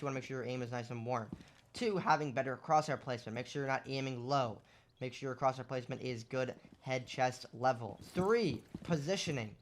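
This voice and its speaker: A young man with a voice going hoarse, casually explaining shooter game tactics over gameplay and often checking in with "right?". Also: mid-range voice. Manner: explanatory, rhetorical questions, lots of "right?". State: losing his voice. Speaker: gaming content creator. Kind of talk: casual gameplay tips commentary.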